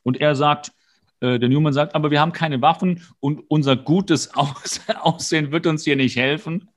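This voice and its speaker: A man with a slightly high voice co-hosts a podcast. He retells a show casually and comedically, dropping in wry asides and mimicking characters as he goes. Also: steady tone, moderate pace. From Hesse, Germany. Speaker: podcast co-host. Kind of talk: casual comedic episode recap.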